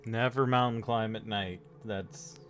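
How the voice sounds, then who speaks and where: A man's voice: on the low side, fairly even intonation, unhurried, a bit bright, faint music behind. A man giving casual, blunt game commentary with slangy, sweary phrasing as a let's play streamer.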